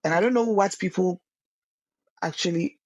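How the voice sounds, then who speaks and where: A woman's voice: fairly low pitch, normal speed, darkish tone. A young woman, a singer guesting on a casual podcast, earnest and often repeating words as she searches for them.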